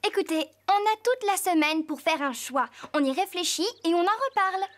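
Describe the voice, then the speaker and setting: High-voiced sensible cartoon girl. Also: expressive, moderate pace. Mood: composed. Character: sensible, level-headed girl team leader. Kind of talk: French cartoon dub. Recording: music behind.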